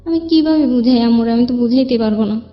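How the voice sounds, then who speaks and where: A young Bangladeshi woman recounts her own troubles as a show guest in a plaintive, rambling way. Her voice is fairly high and carries a long reverb. Soft music plays underneath. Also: fast, highs cut off. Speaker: guest telling own life story. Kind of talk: personal spoken account. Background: background music.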